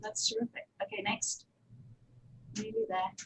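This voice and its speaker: A woman's voice: very high-pitched, wildly swooping pitch, measured pace, creaky, background noise. A woman giving an informal panel presentation as an audio producer, talking conversationally with anecdotes and digressive asides.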